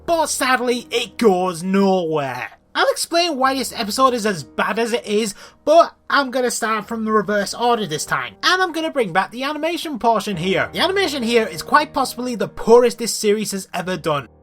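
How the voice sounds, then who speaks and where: Bright, animated male reviewer. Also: high-pitched, normal speed. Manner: exasperated, sarcastic. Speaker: video reviewer and host. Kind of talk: scripted cartoon review with comic rants.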